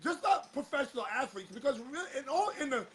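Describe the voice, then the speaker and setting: Male host, very high bright voice, a bit hoarse, exasperated rhetorical talk-show riffing. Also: sing-song, quick pace, a little hiss or hum. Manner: fires rhetorical questions, exasperated. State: slightly hoarse. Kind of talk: casual talk-show commentary.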